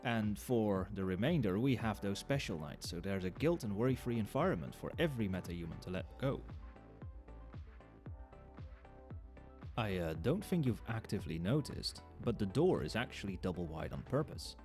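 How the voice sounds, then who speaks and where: A man's voice: lowish voice, very animated, a little fast, few pauses. A man playing a chatty, knowing nightclub barman in an ASMR roleplay, talking conversationally to the listener with wry understatement. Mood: pleased, explanatory. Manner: conversational, explanatory, with hesitations and understatement. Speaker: voice actor in an audio roleplay. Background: soft club music and nightclub ambience.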